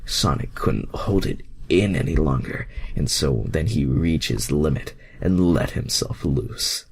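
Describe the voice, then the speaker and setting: A man's voice: a bass voice, vocal fry, a little hiss or hum. A man reading fan fiction aloud as an amateur narrator, with sweary, self-mocking, exasperated asides.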